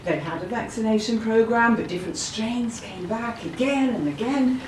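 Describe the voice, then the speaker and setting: A woman's voice: fairly high pitch, normal speed, close to an everyday voice. A woman acting an anxious, plaintive seventy-year-old Londoner in an audio play. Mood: reflective, sombre. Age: 70s. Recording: roomy, distant, faint background noise.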